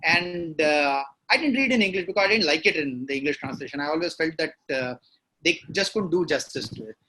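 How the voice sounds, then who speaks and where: A man's voice: fairly high pitch, animated, fairly quick, sharp tone, low-quality recording. A man with an Indian accent, a novelist guest in a conversational interview, explaining his work reflectively and slipping into Hindi at times.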